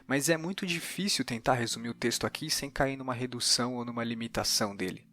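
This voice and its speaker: A Brazilian man speaks as a podcast host and interviewer, with a middle-pitched voice. His delivery is reflective and exploratory, thinking his way through ideas. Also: fast, sharp tone. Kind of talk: reflective narration and interview questions.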